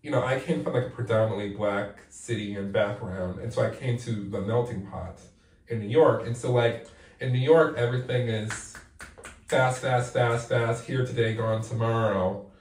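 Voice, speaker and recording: A man's voice: mid-range voice, moderate pace, a little mellow. Reflective man in his forties, echoey bathroom. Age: 40s. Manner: thoughtful, reflective, many "like" fillers. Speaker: guest playwright. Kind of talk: candid interview talk. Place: bathroom, sitting in a bathtub. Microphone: far camera. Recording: echoey bathroom, mic at a distance.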